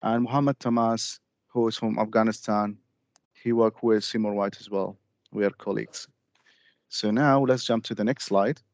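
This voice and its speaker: A man from Syria giving a steady, slightly formal group presentation over a video call. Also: lowish voice, moderate pace, dry recording. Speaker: student presenter. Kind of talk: class presentation.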